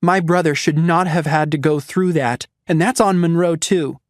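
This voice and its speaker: A man's voice: fairly high pitch, normal speed, no room sound, studio quality, voice pushed up, natural voice. A man voicing a blunt, defiant teenage girl who protects her younger brother.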